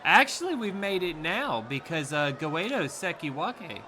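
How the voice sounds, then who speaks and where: A man's voice: a little high, big pitch swings, unhurried, sharp tone, slight background noise. A man with a Southern US accent giving laid-back, rambling sumo commentary, laughing easily. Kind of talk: casual live commentary.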